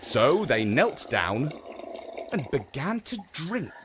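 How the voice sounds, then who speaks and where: A man narrating a children's story in an expressive, theatrical storytelling manner. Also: medium pitch, slow pace, noisy background.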